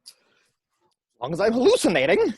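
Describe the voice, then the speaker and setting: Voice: mid-range voice, huge pitch swings, a little slow, husky, dry recording, clean studio sound, heavily acted. An actor playing a drunk, weary, disbelieving grown man, hesitant and sardonic, in a script read-through. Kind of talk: hobby table read acting.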